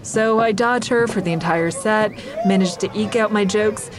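Actress playing female comedian, first-person drama narration, wry, conversational tone, medium pitch, slight background noise. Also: normal speed, natural voice. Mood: wry, reflective. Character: wry female stand-up comedian telling her own story.

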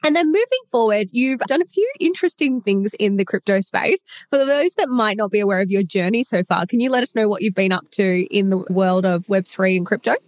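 A woman hosting a podcast interview, her voice a little croaky from illness, chatty and upbeat. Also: medium pitch, animated, brisk, few pauses, a little mellow, no room sound. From Australia. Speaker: podcast host. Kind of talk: casual interview.

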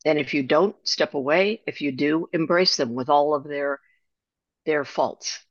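A woman's voice: deep voice, unhurried, no room sound. Older woman telling her story, muffled. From Germany. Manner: reflective storytelling, frequent restarts. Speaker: guest author. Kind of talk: conversational interview.

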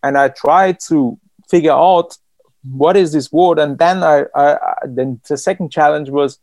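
A man from Iran, a writer, speaking in an interview in a thoughtful, halting way with many restarts and fillers. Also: on the high side, a little mellow, dry recording. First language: Persian.

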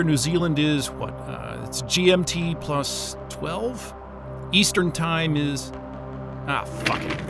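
A man's voice: on the high side, pushed and bright, full character voice. An actor playing an agitated, foul-mouthed male astrophysicist in an audio drama, swearing and stammering. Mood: frustrated, muttering. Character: panicked, foul-mouthed astrophysicist trapped in a remote station. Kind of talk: naturalistic audio drama acting.

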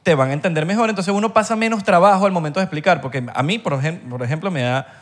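Fast-talking man, animated. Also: fairly high pitch. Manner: animated, jokey, slangy. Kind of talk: casual chat.

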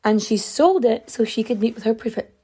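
A woman with a fairly high but soft, mellow voice, talking her way through a story in a loose, chatty way with lots of fillers. Her pitch jumps up and down as she gets into it, and her voice is wearing thin and a little hoarse. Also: lively intonation, brisk. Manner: chatty, many fillers, big pitch swings. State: losing her voice. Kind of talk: casual storytelling.

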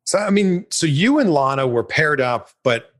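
A man's voice: fairly high pitch, expressive, moderate pace, fairly bright tone. A man interviewing a guest, asking his questions in a friendly, conversational way.

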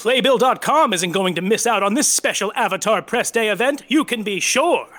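Very high, bright, arch announcer. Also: male voice, expressive, moderate pace. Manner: arch, playful.

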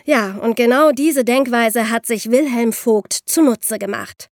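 Female history podcast co-host, slightly high pitch, clear emphatic explainer, very dry recording. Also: a little slow, darkish tone, no echo.

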